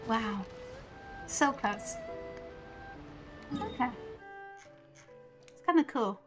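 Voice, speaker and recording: Female game streamer, slightly high voice, casual rambling commentary, light music underneath. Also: expressive, very slow. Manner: chatty, rambling train of thought. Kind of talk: casual live gameplay commentary.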